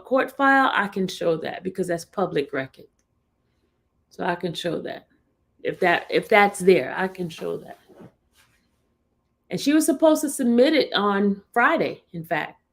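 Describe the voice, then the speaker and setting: A woman, chatting on her livestream and laughing with her viewers. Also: mid-range voice. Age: 50s. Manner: chatty, laughs often, talks to her live chat. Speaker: livestream host. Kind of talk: casual livestream chat.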